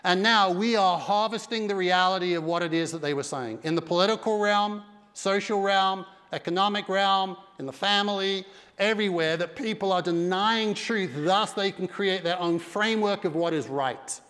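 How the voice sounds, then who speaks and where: A man's voice: high voice, normal speed, a bit bright, clear, resonant tone. A middle-aged man preaching a sermon with emphatic delivery on a church stage. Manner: emphatic preaching with broad hand gestures. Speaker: pastor. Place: large dark church stage. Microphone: headset mic. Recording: long reverb of a big hall.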